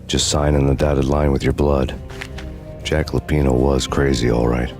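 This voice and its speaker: An actor playing a brooding, cynical avenger, narrating a noir video game in a world-weary deadpan. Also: male voice, rumbling low, normal speed. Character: brooding, cynical hard-boiled male avenger. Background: music.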